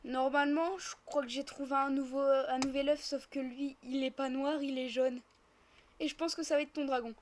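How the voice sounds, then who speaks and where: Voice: high-pitched, flat delivery, normal speed. A female speaker, casually improvising a roleplay while gaming and chattily narrating each action. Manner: chatty, narrates own actions as they happen. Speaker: host of gaming roleplay videos.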